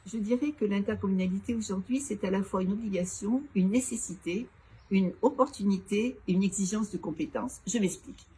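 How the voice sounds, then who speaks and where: A woman, a local elected official, giving prepared, formal interview answers in a structured, didactic and measured way. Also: mid-range voice, dark tone. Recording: clearly noisy background ambience.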